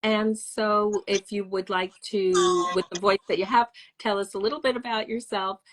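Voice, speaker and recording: A woman hosting a podcast interview, introducing her guest in a measured way and stacking words into lists. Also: medium pitch, steady tone, a crowd in the background. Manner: measured, repeats words while listing. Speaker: host.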